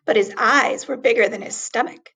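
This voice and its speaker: A woman reading a children's story aloud expressively, with deliberate, dramatic emphasis on words. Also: on the high side, fairly bright tone, breathy edge.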